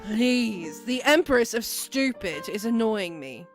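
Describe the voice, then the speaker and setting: A woman's voice: on the high side, animated, slow, over music. A woman playing a haughty, flippant, volatile god with an arch, theatrical manner in an amateur comic dub. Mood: bored, arch. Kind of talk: amateur fandub voice acting.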